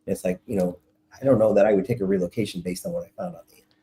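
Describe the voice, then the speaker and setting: A middle-aged man, a guest on an interview, making emphatic points and reasoning out loud with restarts. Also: sits low, fairly even intonation, very fast. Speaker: guest, relocation author. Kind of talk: casual interview answers.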